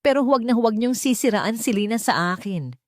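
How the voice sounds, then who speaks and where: A woman voicing a hot-tempered, defiant young man in love in an audio story, forceful and emphatic. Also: on the high side, expressive, fairly quick, no room sound, clean studio sound, pitched above the performer's own voice, natural voice. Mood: furious.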